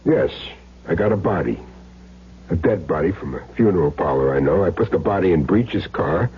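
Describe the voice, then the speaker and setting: A man's voice: low voice, lively intonation, moderate pace, husky, heavily acted. An American man acting a cagey small-time hired gun in an old radio crime drama, streetwise and earnestly insisting he is clean. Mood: earnest, self-justifying. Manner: streetwise, plain slangy talk, earnest self-justifying. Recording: dull, noisy old radio recording with hum.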